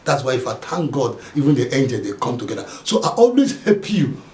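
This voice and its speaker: Man voicing a smug lecturing man, low calm voice, echoey room. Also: fairly quick, lightly acted.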